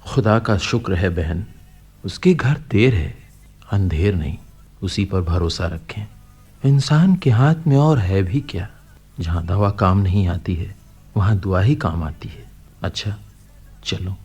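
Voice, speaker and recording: An actor playing a kindly, middle-aged father figure in a Hindi radio play, speaking in a gentle, coaxing, philosophical way. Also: sits low, expressive, measured pace, breathy edge, heavily acted.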